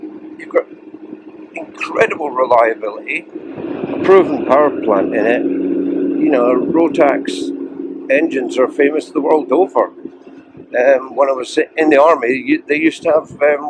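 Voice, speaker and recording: A Scottish man chatting enthusiastically while riding, his voice croaky, rambling with fillers as he goes. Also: medium pitch. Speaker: motorcycle vlogger. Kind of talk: casual ride-along chat. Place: outdoors riding a three-wheeled motorcycle. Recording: loud engine and road noise.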